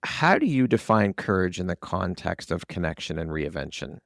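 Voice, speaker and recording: A man with a deep voice hosts an interview podcast. He speaks conversationally, and the sound is dry, with very little room in it. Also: very animated, moderate pace, vocal fry, a bit bright, no echo. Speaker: podcast host.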